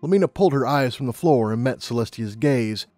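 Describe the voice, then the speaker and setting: A man's voice: medium pitch, expressive, a little fast, darkish tone, no echo, faint music behind. Male story narrator, close and dry. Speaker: audiobook narrator.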